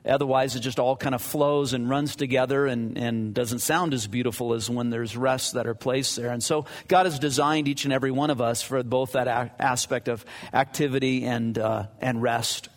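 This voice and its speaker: A man leading a church service, moving from prayer into Bible teaching. He talks in a casual, halting way, full of "uh"s and restarts. His boomy mic rings out with a long reverb, as if in a can. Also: steady tone. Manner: halting, many fillers and restarts; conversational, anecdotal. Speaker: pastor.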